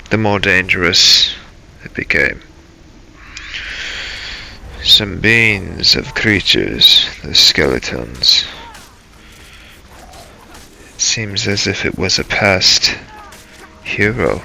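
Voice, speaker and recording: A man tells a first-person adventure story over gameplay. His voice is very bright and forceful with heavy fry, and he moves slowly, in broken phrases. There is faint noise behind him. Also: low voice, animated, low-quality recording. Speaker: video maker narrating a game role-play.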